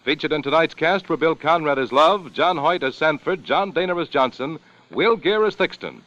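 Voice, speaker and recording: A man's voice: on the high side, moderate pace, fairly bright tone. A man working as a radio announcer, reading scripted ads and credits in a polished, formal 1950s style. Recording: dull 1950 radio recording, music behind.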